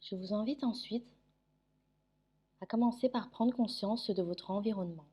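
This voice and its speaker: Very mellow woman guiding sleep meditation. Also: medium pitch, breathy edge. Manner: soothing, gentle instruction with long pauses. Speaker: yoga nidra meditation guide.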